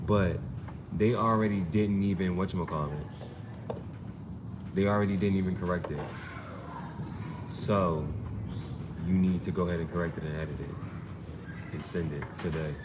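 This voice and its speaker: A man's voice: low voice, fairly even intonation, moderate pace, pauses often. A man giving an informal spiritual reading, urging advice in an insistent, repetitive, emphatic way. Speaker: amateur tarot reader and streamer. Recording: clearly noisy background ambience.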